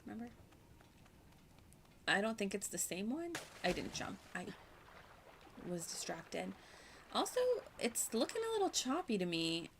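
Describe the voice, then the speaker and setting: A woman's voice: mid-range voice, big pitch swings, unhurried, vocal fry, pauses often, slight background noise. A woman livestreaming a video game with casual commentary, in a playful, gushing and informal manner. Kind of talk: casual live gameplay commentary. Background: music.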